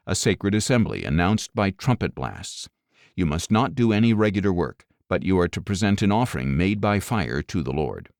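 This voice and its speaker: A man reading scripture as an audiobook narrator, in a measured, solemn, clearly enunciated way. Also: fairly low pitch, a little mellow, dry recording, studio quality.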